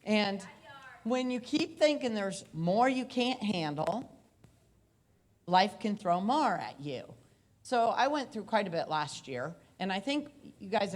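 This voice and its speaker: Casting: woman in her forties, coach on a conference stage with a handheld mic, loud and chatty, repeats herself. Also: medium pitch. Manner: loud, conversational, with repeated phrases. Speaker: motivational speaker and coach. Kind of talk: live motivational talk. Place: hotel conference room stage. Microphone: handheld microphone.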